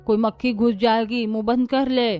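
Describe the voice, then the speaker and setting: A woman's voice: a little high, flat delivery, moderate pace, mellow, no echo, close to an everyday voice. A woman playing an affectionate, teasing old grandmother in a moral story. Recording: music under the voice.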